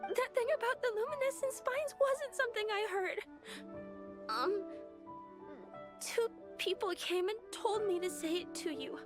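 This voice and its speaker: Tiny sweet kid voice, super high, hesitant, game dialogue w music. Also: female voice, a little slow, long pauses. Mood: tearful, apologetic. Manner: hesitant, childlike. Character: sweet, earnest little girl, easily flustered. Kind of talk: scripted video game voice acting.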